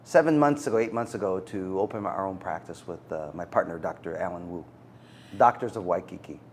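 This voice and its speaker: A middle-aged man, a physician guest, answering interview questions in an earnest, halting way with many fillers. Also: sits low, slight background noise. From United States. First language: Macedonian.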